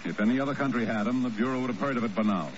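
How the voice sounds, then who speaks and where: An actor playing a brusque, exasperated intelligence chief in a 1950s radio spy drama. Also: male voice, mid-range voice, rapid-fire, lightly acted. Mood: exasperated, incredulous. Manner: brusque, emphatic. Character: gruff, exasperated male intelligence bureau chief. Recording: muffled, hissy old radio recording.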